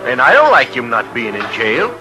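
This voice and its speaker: Stubborn American townsman for a vintage radio western: accusing, blunt, lively swings in pitch. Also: normal speed, music in the background, poor audio. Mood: insistent, suspicious. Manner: blunt, insistent. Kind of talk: 1950s radio western acting. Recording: old radio drama recording.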